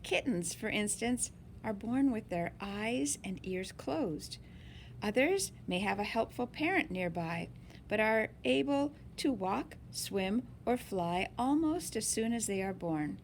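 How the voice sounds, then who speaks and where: A woman reading a picture book aloud in an expressive, deliberate way for young listeners. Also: mid-range voice, a little hiss or hum. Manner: slow, deliberate, animated phrasing for young listeners. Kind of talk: expressive children's read-aloud.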